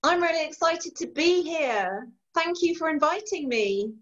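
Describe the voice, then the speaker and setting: A woman's voice: high voice, animated, a little slow, a bit bright. A woman in her forties from East Yorkshire with a mixed Yorkshire and Irish accent, an author chatting enthusiastically and laughing easily on a video call from a room of bookshelves. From East Yorkshire, England. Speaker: author being interviewed. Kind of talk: casual chat. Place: home room lined with bookshelves. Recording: dull lo-fi video call audio.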